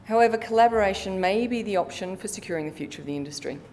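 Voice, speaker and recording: A middle-aged woman giving a prepared formal conference presentation in a measured way, occasionally stumbling as she reads from her notes. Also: expressive, a little mellow. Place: conference hall stage, indoors. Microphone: lectern microphone. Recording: reverberant hall, audible low background rumble.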